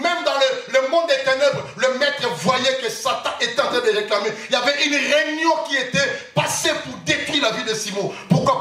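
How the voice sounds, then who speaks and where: Brief: male pastor, emphatic sermon with shouted bursts, very high ringing voice, echoey church hall. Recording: echoey hall, far from mic, faint noise.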